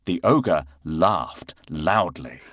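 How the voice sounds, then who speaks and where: A man narrating a scripted children's bedtime fairy tale with expressive, dramatic delivery. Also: low-pitched, very slow, no echo, clean studio sound. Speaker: storyteller narrator.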